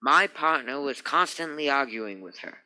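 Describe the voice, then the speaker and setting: Stiff, proper male attorney for radio drama, acted by a man: very sharp bright tone, guarded and fussy. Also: medium pitch, animated, moderate pace, clear, resonant tone, low-quality recording. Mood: evasive, haughty. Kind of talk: old-time radio crime drama acting.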